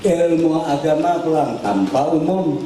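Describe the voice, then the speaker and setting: An older man telling a life story at a religious gathering in an unhurried, folksy way with asides. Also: a little high, expressive, mellow. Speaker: cleric telling his teacher's life story. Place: large hall at a religious gathering. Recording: echoey, distant mic, noisy hall.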